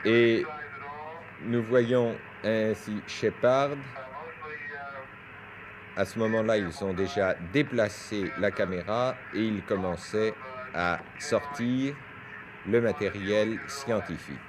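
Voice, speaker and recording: A man's voice: lowish voice, takes it slowly, pauses often, a crowd in the background. A man giving explanatory, didactic science commentary over footage on a 1970s television news broadcast. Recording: noisy 1971 French TV newsreel.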